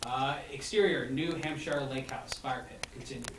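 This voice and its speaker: A man's voice: medium pitch, normal speed, fairly bright tone. A man reading stage directions as narrator of a live comedy table read. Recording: echoey, noisy room, distant mic.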